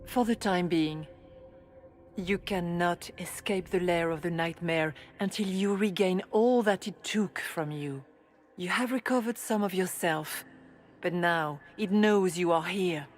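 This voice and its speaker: A woman playing a serene spirit in the guise of a holy leader, speaking in a measured, formal way for a video game. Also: fairly low pitch, close to an everyday voice. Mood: solemn, calm.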